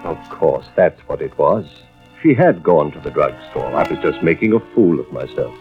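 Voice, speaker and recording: A troubled insurance man narrates his worries in an old radio drama. His low voice swings widely as he hesitates and broods. The recording is dull and vintage, with faint music behind. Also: expressive, moderate pace. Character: troubled insurance investigator husband, brooding and anxious. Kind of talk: 1940s radio drama acting, first-person narration.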